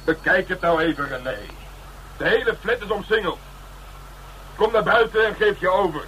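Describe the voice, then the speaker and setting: An actor playing a tough, sardonic police detective who taunts bluntly in a radio crime drama. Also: male voice, high-pitched, moderate pace, pushed and bright. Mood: hard, urging. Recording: noisy old radio drama recording.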